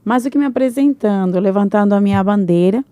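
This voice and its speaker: Venezuelan-accented woman, earnest and measured. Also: medium pitch, dark tone, no room sound. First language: Spanish. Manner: earnest, measured self-introduction. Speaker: guest. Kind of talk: podcast interview.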